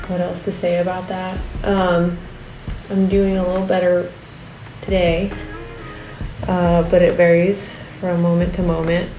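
A young woman talking casually about her week, halting with many fillers, her voice slightly raspy. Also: lowish voice, warm tone, roomy sound, rough recording. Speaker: art vlogger. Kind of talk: casual confessional talk. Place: home studio room with desk and monitors.

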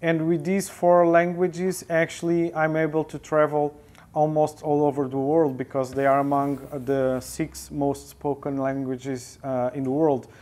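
A man's voice: a little high, little pitch movement, measured pace, a little mellow. A man in his forties with a Portuguese accent, answering interview questions thoughtfully with many hesitations, on a lapel mic in a studio. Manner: thoughtful, frequent filler hesitations. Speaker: interview guest, photojournalist. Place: indoor studio with sofa.

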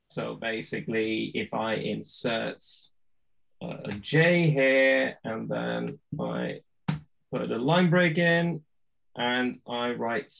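A man whose first language is Norwegian walks through video text templates on a video call, talking in a relaxed, chatty way with lots of ums and uhs. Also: medium pitch, creaky, dull audio, slight background noise. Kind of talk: screen-share tutorial on a video call. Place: home room with bookshelves.

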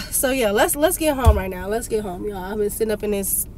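A woman's voice: medium pitch, lively intonation, fairly quick, darkish tone, slight background noise. A young woman vlogger, sick and congested, telling a story animatedly and venting in a parked car, filmed on a phone. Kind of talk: casual vlog rant. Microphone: phone camera on dashboard.

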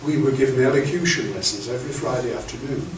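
A man's voice: medium pitch, fast talker. Older man lecturing in an echoey room. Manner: chatty, anecdotal, many restarts and stammered repeats. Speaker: bishop leading clergy training. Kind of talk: lecture to clergy. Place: classroom-sized meeting room with projector screen. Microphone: far camera. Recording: echoey room, far from the mic, faint hiss.

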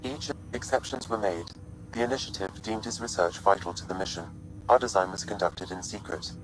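A man voicing a deep, calm ship AI. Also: lightly acted, low-quality recording. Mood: calm, explanatory. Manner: measured, precise, even delivery. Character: calm male ship artificial intelligence. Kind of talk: video game voice acting. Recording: game audio with steady ambient hum.

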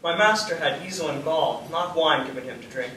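A dignified, devout statesman facing death , played by a man in a stage play. His voice is high, and he orates in measured, formal phrases, defiant yet serene. It is heard from far off in a very echoey theatre. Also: pushed and bright. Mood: quiet, serene. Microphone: far camera in audience. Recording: echoey theatre, distant mic, faint noise.

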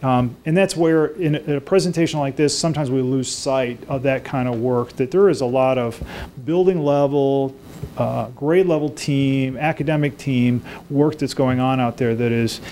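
A man's voice: medium pitch, fairly even intonation, normal speed, mellow, slight background noise. A man, a school administrator, presenting earnestly at a board meeting from a podium microphone. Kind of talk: presentation remarks.

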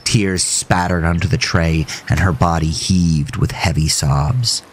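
A man narrating a scripted horror story in a measured, solemn way. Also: low-pitched, steady tone, a little hiss or hum.

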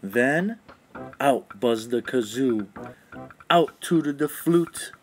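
A man's voice: medium pitch, lively intonation, drawn-out words. A man reading a children's storybook aloud in a rhythmic, sing-song way. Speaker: children's book read-aloud narrator. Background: instrumental music.

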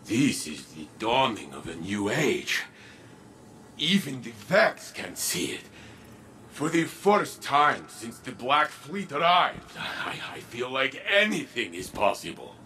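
A man voicing a weathered veteran male warrior in a video game, measured and solemn. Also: medium pitch, very animated, pushed and bright, slight background noise, full character voice.

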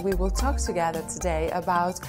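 A woman in her thirties hosting a fashion segment in a bright studio, upbeat and full of compliments. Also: fairly low pitch, a little fast. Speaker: fashion show host. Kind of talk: presenting and interviewing. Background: background music.

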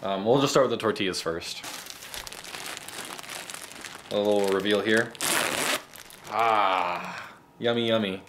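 A man, hosting a mock cooking tutorial in a halting, deadpan way. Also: lowish voice. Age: 20s. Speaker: host of a comedic cooking segment. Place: home kitchen indoors. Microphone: camera mic held by another person nearby. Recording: noisy camera audio, room noise.

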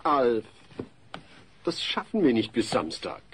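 A man's voice: medium pitch, big pitch swings, takes it slowly, fairly bright tone, a little hiss or hum. A man playing an awkward middle-aged family man in a comedy audio play, polite and hesitant. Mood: weary, reasoning.

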